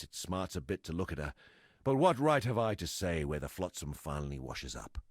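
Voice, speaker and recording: An actor playing a gruff, world-weary ex-smuggler in a video game, blunt and rough-spoken, with a gravelly, husky voice. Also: male voice, lowish voice, a bit bright, dry recording, studio quality. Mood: rueful. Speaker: game non-player character. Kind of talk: scripted video game dialogue.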